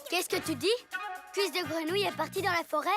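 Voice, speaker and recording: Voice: very high-pitched, fairly quick. A performer voicing a cocky, taunting young boy ninja villain in a French cartoon dub. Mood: scheming, bossy. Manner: taunting, theatrical, commanding. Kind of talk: French animated cartoon dubbing. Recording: background music over the voice.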